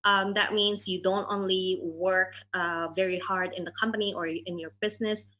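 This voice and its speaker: A woman hosts an online Q&A over a video call, reading out audience questions and answering them herself. Her voice is bright and sits at a middle pitch, and she pauses often on "um" and "uh". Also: little pitch movement. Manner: reads out questions and answers with frequent "um" and "uh". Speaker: moderator and education adviser. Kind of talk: moderating an online Q&A.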